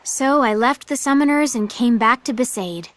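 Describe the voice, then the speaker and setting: A woman playing a high-voiced, sarcastic, exasperated young woman. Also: moderate pace, close to an everyday voice. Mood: wry, puzzled. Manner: sarcastic, blunt, incredulous. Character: brash, exasperated young woman narrating her story. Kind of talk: audio drama narration and dialogue.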